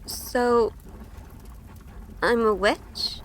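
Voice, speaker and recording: Woman acting a timid, reverberant high-voiced young woman. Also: drawn-out words, slight background noise. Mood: nervous, shaken. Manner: hesitant, anxious. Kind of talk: audio drama acting and first-person narration. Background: music.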